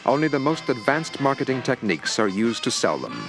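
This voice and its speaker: A man's voice: mid-range voice, big pitch swings, a little fast, loud music behind the voice. Man doing newsreel style narration, dramatic, voice goes up n down a lot. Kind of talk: documentary-style scripted narration.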